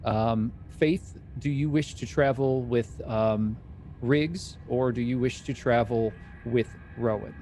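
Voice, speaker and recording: A man acting as game master, narrating a tabletop horror game in a measured, descriptive way and asking players what they do. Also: mid-range voice, a little mellow, slight background noise. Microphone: streaming mic.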